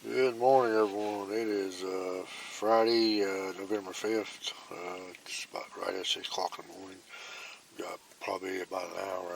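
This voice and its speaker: A man, filming a hunting vlog on his phone outdoors, telling his story in an excited ramble and switching to a hushed whisper up in the tree stand. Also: deep voice, gravelly, a little hiss or hum, rough recording. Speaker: bowhunting vlogger. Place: outdoors in woods.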